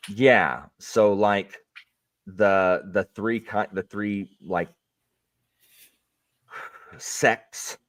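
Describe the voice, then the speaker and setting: A man hosting a livestream, chatting casually in a lively, rambling way. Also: lowish voice, very slow, pauses often, no room sound. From Canada. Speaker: streamer host.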